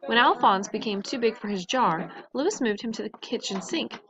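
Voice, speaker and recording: A woman's voice: on the high side, lively intonation, normal speed, muffled recording, background noise. A woman reading a children's story aloud in a narrating style. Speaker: storybook reader.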